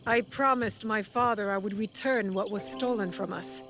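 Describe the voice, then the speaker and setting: A woman's voice: mid-range voice, normal speed, over music, close to an everyday voice. A woman playing a determined, vengeful young shinobi in a game cutscene, speaking in a terse, resolute way. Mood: cold anger. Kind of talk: dramatic video game cutscene acting.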